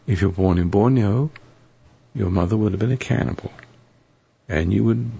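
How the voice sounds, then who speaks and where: A hoarse man hosting a live call-in radio show, rambling and preachy with a sardonic edge. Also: on the low side, normal speed, warm tone. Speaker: radio talk show host. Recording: dull lo-fi radio broadcast.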